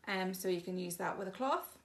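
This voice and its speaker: A woman's voice: medium pitch, a little fast. A woman giving a chatty, casual demonstration of bathroom products, explaining each one plainly with frequent ums. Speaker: shop presenter showing products.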